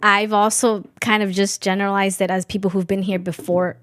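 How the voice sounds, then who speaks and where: A woman's voice: medium pitch, flat delivery, fairly quick, fairly bright tone. A young woman who grew up in Guatemala with a Mayan first language, talking thoughtfully and a bit hesitantly on a youth radio show. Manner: thoughtful, reflective, some hesitation. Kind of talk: casual conversation.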